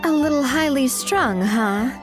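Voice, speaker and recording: A woman playing a friendly, easygoing young female investigator in an animated video game, with a casual manner. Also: high voice, measured pace. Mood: wry. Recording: music playing under the voice.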